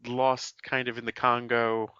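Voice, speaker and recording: A male podcast co-host with a bright, forceful voice works through a film plot in loose conversation. He stumbles and restarts often. The recording is dry and a little dull. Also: medium pitch, monotone, a little slow, clear, resonant tone, no room sound. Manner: rambling plot summary with fillers and restarts. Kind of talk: casual film review chat.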